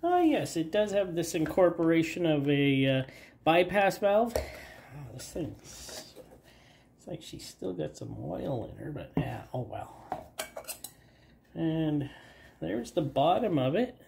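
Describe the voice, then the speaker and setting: Female DIY host with a head cold; slow, lively, self-deprecating delivery, noisy outdoor recording. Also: low voice, gravelly. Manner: rambling, self-deprecating, halting with fillers. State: has a cold. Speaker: garage channel host. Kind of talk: casual hands-on DIY narration. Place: outdoor work table.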